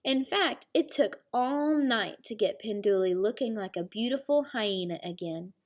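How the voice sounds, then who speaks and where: A woman's voice: medium pitch, expressive, unhurried. A woman reading a children's picture book aloud like a teacher, with explanatory asides. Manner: explains words and story asides. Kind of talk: children's storybook read-aloud.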